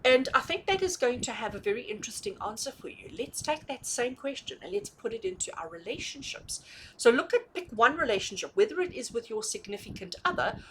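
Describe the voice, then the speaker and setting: Woman tarot host, animated and fairly high, emphatic and conversational, slight background noise. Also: brisk, rough recording. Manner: emphatic, repeats and rephrases points, often checks in with rhetorical questions. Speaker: tarot reader hosting a reading. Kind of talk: conversational, intuitive tarot reading addressed to viewers.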